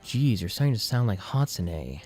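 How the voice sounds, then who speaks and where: A man's voice: lowish voice, big pitch swings, normal speed, a little mellow, soft background music, voice pushed up. A man voicing an exasperated young male student, defensive and sarcastic as he protests.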